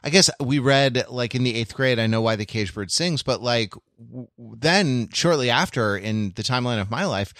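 Halting man in a dry recording. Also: medium pitch, fairly bright tone, dry recording. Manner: halting, searching for words, stop-and-start. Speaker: podcast co-host. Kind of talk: casual podcast chat.

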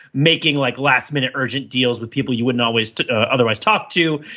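A man's voice: medium pitch, bright, forceful tone, highs cut off. A man co-hosting a podcast, talking casually and analytically in a wordy, emphatic way and often checking in with "right?". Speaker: podcast co-host discussing a TV series. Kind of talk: casual analytical discussion among co-hosts.

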